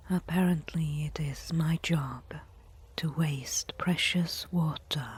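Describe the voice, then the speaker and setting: A woman voicing a proud, disdainful desert tribeswoman who nurses reluctantly, in a breathy whispered ASMR roleplay with formal phrasing. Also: low-pitched, a little mellow, exaggerated voice. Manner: slow, measured, formal phrasing.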